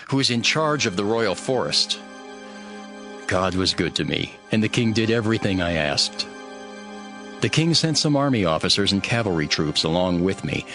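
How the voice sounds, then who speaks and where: A man's voice: on the low side, a bit bright. A man playing a devout, sorrowful Hebrew cupbearer who earnestly narrates his own story in a dramatized audio Bible. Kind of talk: dramatized first-person scripture narration. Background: background music.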